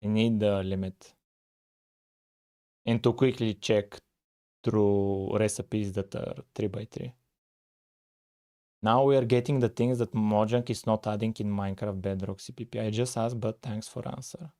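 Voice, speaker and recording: A man, talking casually on a live coding stream, thinking aloud with frequent pauses and "uh"s. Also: lowish voice, fairly even intonation, warm tone. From Bulgaria. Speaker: live-streaming game developer.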